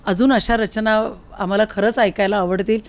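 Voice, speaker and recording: A woman's voice: medium pitch, steady tone, normal speed. Woman hosting a conversational podcast interview. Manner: encouraging, interjects short acknowledgements. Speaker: podcast host. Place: recording studio.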